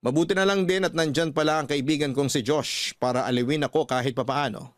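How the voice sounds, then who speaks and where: A man's voice: a little high, fairly even intonation, quick pace, dry recording, studio quality. A man with a Filipino accent narrating a scripted, sentimental letter as a radio storyteller. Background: background music.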